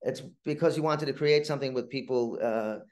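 A man talking casually as an interview guest, telling anecdotes about his writing work in a halting way with stammered restarts. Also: medium pitch, darkish tone. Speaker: guest, playwright and TV writer.

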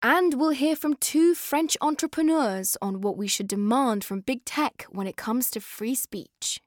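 A British woman narrating a scripted podcast as host, in an expressive, conversational way that speaks straight to listeners. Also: sits high, a little slow, no echo, studio quality. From born in Afghanistan, moved to the UK.

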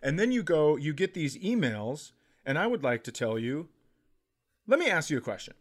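A middle-aged American man in casual comedic conversation, telling stories in an animated way and acting out what others say. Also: fairly high pitch, moderate pace. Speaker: comedy podcast host.

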